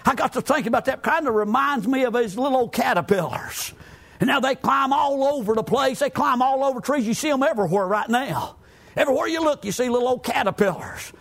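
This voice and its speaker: High-pitched Southern preacher man. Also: a little fast, vocal fry. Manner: exclamatory, rising to shouts, punctuated with amens. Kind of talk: fervent evangelical sermon with scripture reading.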